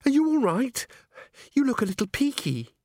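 Gushing, airheaded young female singer, played by a male narrator: high-pitched, bubbly, breathless, swooping storybook delivery. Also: big pitch swings, moderate pace, darkish tone, no echo, clean studio sound, full character voice. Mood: brief concern. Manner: breathless, exclaiming, cheerful. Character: gushing, girlish, empty-headed young woman singer.

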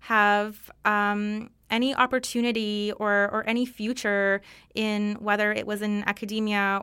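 A woman in her thirties from Ukraine, whose first language was Russian, explaining her views earnestly and in a measured way in an interview, with frequent ums. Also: mid-range voice, a bit bright, clean tone, no room sound. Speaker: interviewee, activist. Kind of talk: conversational interview answers.

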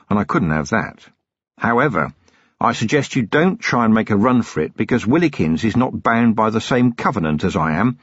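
A man voicing a weary, gruff city police commander who talks in a slow, deliberate, menacing way. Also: medium pitch, dry recording, muffled recording.

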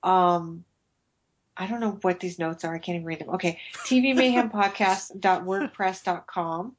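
A woman's voice: on the low side, normal speed, a little hiss or hum. A woman co-hosting a casual podcast chat, stuffed up and tired, reading listener feedback aloud with small slips. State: stuffed-up nose. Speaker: podcast co-host.

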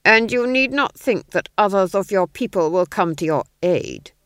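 A woman's voice: medium pitch, expressive, normal speed, fairly bright tone, clear, resonant tone, no room sound, clean studio sound, close to an everyday voice. A woman voicing a pompous, gloating and unhinged Duke with grandiose, theatrical phrasing, in a fantasy audiobook.

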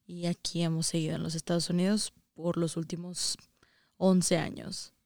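A woman's voice: lowish voice, flat delivery, moderate pace, gravelly, darkish tone, no echo, clean studio sound. A young woman with a northern Mexican accent, chatting casually as an interview guest about her own experiences. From Saltillo, Mexico, and the United States. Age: young adult.

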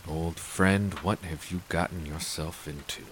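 A man acts out a gruff, down-and-out war veteran in a noir radio play. His voice is very low and he talks very slowly, terse and sardonic. Rain sounds behind him. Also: steady tone, fairly bright tone, a little hiss or hum, poor audio. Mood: curt, reluctant. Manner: terse, world-weary, sardonic. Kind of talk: noir audio drama acting.

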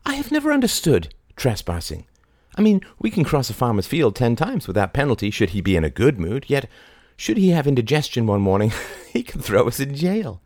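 A man voicing a sly woman trickster who speaks gently and teasingly, with giggles. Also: a bass voice, wildly swooping pitch, fairly quick, no echo, studio quality, pitched above the performer's own voice, exaggerated voice.

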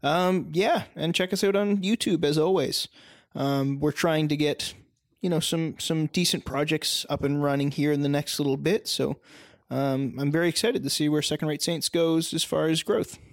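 A man's voice: fairly high pitch, normal speed, no echo, clean studio sound. Chatty young man on a podcast. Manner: rambling, many ums and uhs. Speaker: podcast co-host. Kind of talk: casual chat.

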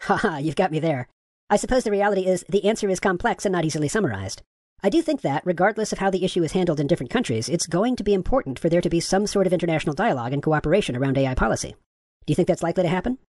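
Man reading a brisk, pitched-up AI chatbot. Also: lively intonation, darkish tone, no echo, clean studio sound. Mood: polite, agreeable. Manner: courteous, affirming, asks follow-up questions. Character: polite agreeable AI chatbot, gender-neutral. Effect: pitch raised.

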